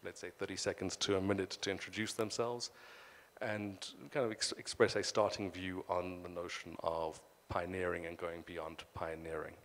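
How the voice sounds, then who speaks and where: A man's voice: sits low, flat delivery, normal speed. A man moderating a conference panel, speaking hesitantly with many fillers into a handheld mic. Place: conference stage. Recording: long hall reverb.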